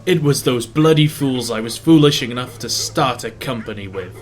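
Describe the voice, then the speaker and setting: A man's voice: medium pitch, animated, normal speed, music in the background, natural voice. A man voicing an irritable, proud railway owner in an audio drama, blustering and exasperated.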